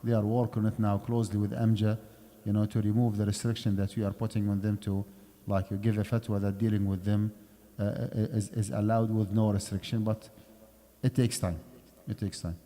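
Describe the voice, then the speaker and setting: Man, lower voice, dark mellow tone, conversational lecture, long hall reverb, mosque setting. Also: flat delivery, moderate pace. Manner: conversational, explanatory, checks in with "okay". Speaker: Islamic finance lecturer.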